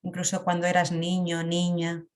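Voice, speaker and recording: A woman leading a guided emotional meditation in a calm, reflective way. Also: on the low side. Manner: slow, calm, reflective guidance with long pauses.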